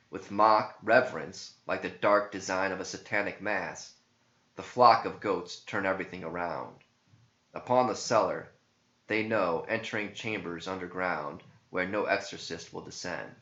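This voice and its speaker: A man reading scripted verse as a narrator, in a solemn, deliberate and theatrical manner. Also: sits low, a bit bright. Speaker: author and narrator. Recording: muffled, echoey home recording.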